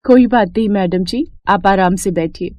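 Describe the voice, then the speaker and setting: A woman voicing a brave, dutiful fifteen-year-old village girl with a soft, polite, earnest manner in a cartoon story. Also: mid-range voice, normal speed, dry recording, natural voice. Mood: polite, subdued.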